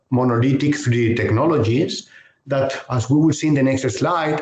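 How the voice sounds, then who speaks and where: A professor from Spain with a noticeable Spanish accent, talking through a technical webinar in a measured, hesitant way. His voice sits at an ordinary middle pitch. The audio is an echoey online call. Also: darkish tone. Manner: measured, careful explanation with frequent hesitations. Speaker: university professor.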